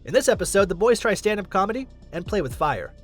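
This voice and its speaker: A man narrates a scripted countdown video in a high, animated voice. He explains things with emphasis, while faint music plays behind him. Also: quick pace.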